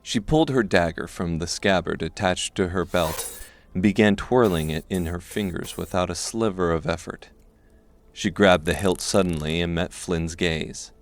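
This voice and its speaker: A man narrating a scripted dark fantasy audiobook. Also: low voice, normal speed.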